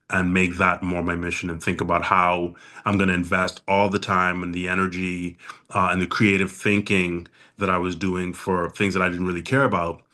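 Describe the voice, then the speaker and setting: A very deep, low man's voice from the Bahamas, speaking in an even, steady tone that barely rises or falls. He talks in a measured, reflective way, often pausing to find his words as a podcast guest. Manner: measured, thoughtful, with frequent "uh" pauses.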